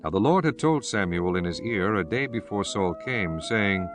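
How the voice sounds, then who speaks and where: Male scripture narrator, lowish pitch, measured, formal, scripted delivery, music behind. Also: expressive.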